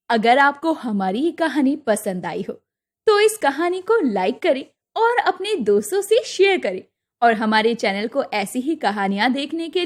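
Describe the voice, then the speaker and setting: A woman storyteller delivers scripted narration in a high voice. Her pitch moves in an animated way, and music plays behind her. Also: no echo.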